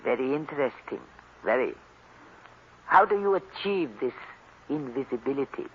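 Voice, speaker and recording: Suave, evasive academic: husky, measured, elaborately polite, dulled vintage radio sound. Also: male voice, mid-range voice, animated. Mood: intrigued, wary. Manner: slow, measured, over-polite. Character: evasive, smooth-talking male professor. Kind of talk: 1940s radio mystery acting. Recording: muffled old radio recording.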